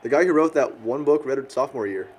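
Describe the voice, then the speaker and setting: A man's voice: mid-range voice, quick pace, slight background noise, lightly acted. A young American man acting as a crude, dim, defensive slacker in film dialogue. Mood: confused. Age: young adult. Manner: blunt, defensive. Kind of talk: naturalistic amateur film dialogue.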